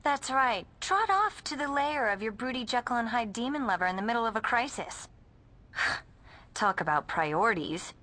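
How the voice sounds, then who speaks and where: A woman's voice: fairly high pitch, animated, normal speed, pushed and bright. An actress playing a haughty, tactless teenage girl with an American accent in a video game, sarcastic and snippy. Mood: scornful.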